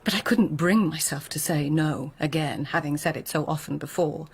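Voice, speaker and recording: Breathy, husky, guarded court lady. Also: sits low, heavily acted. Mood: cool, guarded. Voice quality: breathy, husky, near whisper. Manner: measured, composed, understated. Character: poised, guarded court lady of old Japan. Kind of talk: radio drama acting, intimate narration and dialogue.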